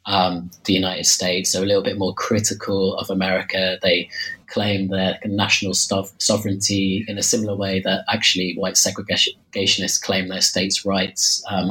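A man's voice: low-pitched, bright, forceful tone, rough recording. A man with a slight cold, losing his voice a little, talking about his book in an interview, hesitant with many fillers and restarts. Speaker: author discussing his book. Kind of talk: interview answer.

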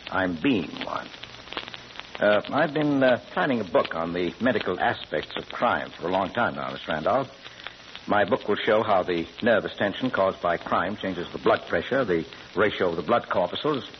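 Cultured gentleman criminal-doctor, unflappable, pompous, very animated delivery; dull vintage broadcast with faint noise. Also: deep voice, normal speed. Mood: calm, candid. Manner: precise educated diction, hesitant, politely pompous. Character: urbane scholarly doctor turned amateur burglar, curious and unflappable. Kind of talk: 1940s radio drama acting. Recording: muffled old radio broadcast, faint noise.

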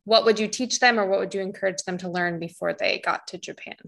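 Woman host asking a brisk question. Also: mid-range voice, steady tone, gravelly, fairly bright tone. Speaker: podcast host. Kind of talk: interview questions.

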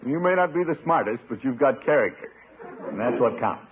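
Blustery, soft-hearted middle-aged uncle played by a male actor, hammy 1950s radio comedy, widely swooping pitch, tinny old broadcast. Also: lively intonation, brisk, slight background noise, natural voice. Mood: fond. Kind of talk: 1950s radio sitcom acting.

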